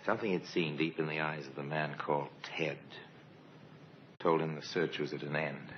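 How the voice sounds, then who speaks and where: A grave male narrator of an old radio crime series, with an extremely deep voice. He tells it deliberately and portentously. The recording is dull and clearly noisy. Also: fairly even intonation. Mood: grave, measured.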